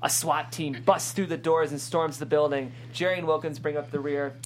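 Low female voice, scripted narration, amused delivery, table read, faint background hum. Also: fairly even intonation, moderate pace. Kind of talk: scripted narration of screen directions.

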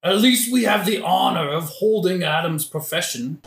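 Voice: medium pitch, gravelly, lightly acted. A performer playing a drunken, cheeky gravedigger who philosophizes and argues with mock logic in a modern comic audio drama. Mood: wry. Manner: argumentative, mock-logical, joking, sometimes singing slowly. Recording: roomy echo, faint noise.

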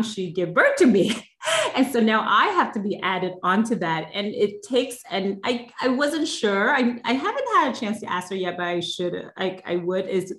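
A woman in her forties from Vietnam tells her story in a reflective, meandering way, full of fillers and restarts. Her voice sits a little low, and the room around her rings with echo. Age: 40s. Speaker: guest, life and business coach. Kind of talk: conversational storytelling interview.

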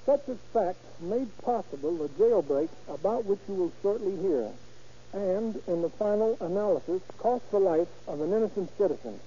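A man's voice: high voice, animated, normal speed, mellow, slightly rough. An American man, a deputy sheriff, giving a stiff, earnest prepared address on 1930s radio. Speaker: deputy sheriff, guest speaker. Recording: muffled, faintly noisy 1930s radio recording.

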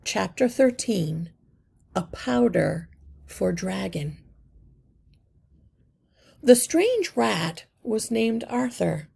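A woman teacher narrating a children's novel aloud, slow, deliberate and expressive. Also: medium pitch, vocal fry, breathy edge.

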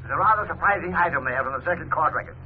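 Actor as a brisk, curt boss, tinny line. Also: male voice, fairly high pitch, animated, lightly acted. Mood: coldly stern. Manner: clipped, formal, ominous. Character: curt, coldly displeased male boss. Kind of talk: 1950s radio drama acting. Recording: tinny, noisy old radio phone line.

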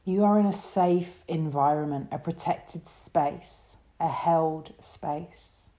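A woman leading a guided relaxation meditation as a yoga teacher, speaking in a soothing, gentle way. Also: deep voice. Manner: soothing, gentle, unhurried instruction.

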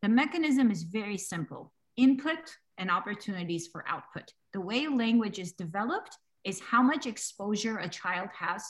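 A woman in her forties, a language educator being interviewed, talking lively, laughing often and acting out the people she quotes. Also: mid-range voice, normal speed, few pauses. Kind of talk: conversational interview.

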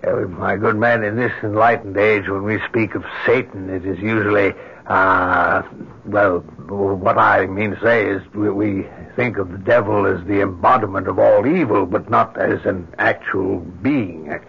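A man's voice: fairly low pitch, fairly even intonation, unhurried, vocal fry, husky, heavily acted. An actor playing a hesitant, formal, rational clergyman in an old radio drama. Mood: skeptical, reasoning. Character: skeptical, mildly patronizing clergyman, rational and hesitant. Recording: dull old radio recording.

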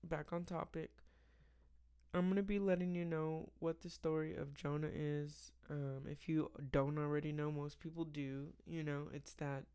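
A raspy, weary late-night voice at a middle pitch, its gravelly fry sitting under a smooth, resonant core. The speaker hosts a casual faith podcast, rambling and restarting with plenty of fillers. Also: flat delivery, normal speed, mellow. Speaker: podcast host.

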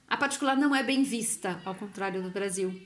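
A woman from Brazil, living abroad, telling a family story in a relaxed, animated way, often laughing and acting out what others said. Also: medium pitch, fairly quick. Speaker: guest. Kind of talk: casual conversational storytelling. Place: Italy.

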